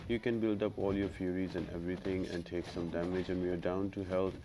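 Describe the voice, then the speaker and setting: Low-pitched man narrating a game guide, noisy recording. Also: little pitch movement, brisk. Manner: instructional, addresses viewers as guys. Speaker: gaming video host. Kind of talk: unscripted game guide commentary.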